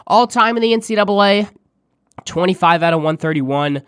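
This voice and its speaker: A man with a congested, nasal voice while under the weather, hosting a podcast and giving a steady, stat-heavy sports preview. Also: high-pitched, normal speed, clear, resonant tone, no room sound, studio quality. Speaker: podcast host.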